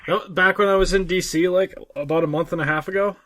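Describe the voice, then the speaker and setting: Higher-pitched American man in halting banter. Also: fairly even intonation, fairly bright tone. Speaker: podcast co-host. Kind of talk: casual banter.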